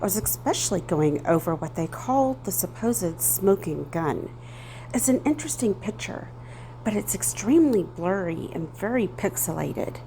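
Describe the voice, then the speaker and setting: A woman's voice: medium pitch, lively intonation, moderate pace, mellow, slight background noise, close to an everyday voice, poor audio. A woman playing an earnest, suspicious private investigator in an old-time radio style drama. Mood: intrigued, concerned. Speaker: investigator in an amateur audio drama.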